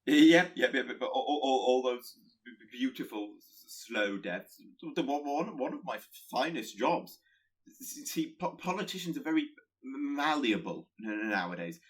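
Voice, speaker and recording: A man playing a nervous, eager spirit of pestilence in a radio play, timid and halting, with a heavy stutter. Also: medium pitch, animated, husky, rough recording. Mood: gleeful. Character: nervous, eager male spirit of pestilence who delights in corruption.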